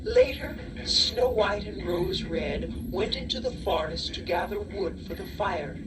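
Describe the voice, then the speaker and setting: Slow, expressive woman narrating a storybook. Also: fairly low pitch. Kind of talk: scripted children's story narration. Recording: distant cassette audio with music.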